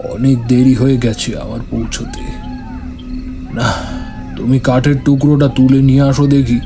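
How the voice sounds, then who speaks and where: A man's voice: medium pitch, wildly swooping pitch, moderate pace, dark tone, soft background music. A man playing a stern, authoritative tantric holy man who admonishes formally in a horror audio drama. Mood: urgent.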